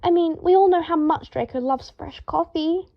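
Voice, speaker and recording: A woman's voice: extremely high pitch, moderate pace, gravelly, muffled recording, voice pushed up. Woman voicing a pained, apologetic young witch.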